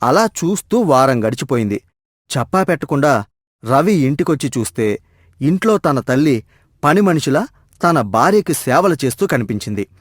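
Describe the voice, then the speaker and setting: A man's voice: medium pitch, big pitch swings, normal speed, no echo, clean studio sound. A man narrating a scripted moral story as a storyteller.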